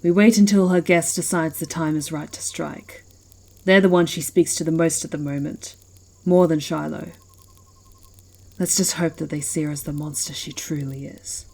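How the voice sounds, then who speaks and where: A woman's voice: sits low, a little mellow. A woman playing a proud, menacing werewolf who leads an ancient pack, speaking with haughty deliberation in an ASMR fantasy roleplay. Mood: scheming, inviting.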